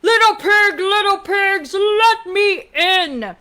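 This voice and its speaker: A woman voicing a greedy, blustering big bad wolf from a storybook, loud and drawn-out with playful menace. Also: very high-pitched, voice pushed up, full character voice. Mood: greedy, wheedling.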